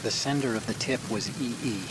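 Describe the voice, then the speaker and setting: Guy playing nervous hacker sidekick in a game, kinda hesitant and sincere, medium pitch, rain going behind him. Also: brisk, very noisy, natural voice. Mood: uneasy, confiding. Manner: hesitant, stammering. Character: earnest, anxious male computer hacker, loyal support partner. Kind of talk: video game voice acting.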